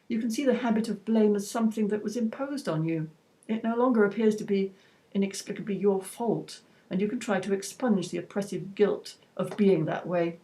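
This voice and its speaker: A woman reading her own personal essay aloud in a measured, reflective and slightly wry way. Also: mid-range voice, mellow, poor audio.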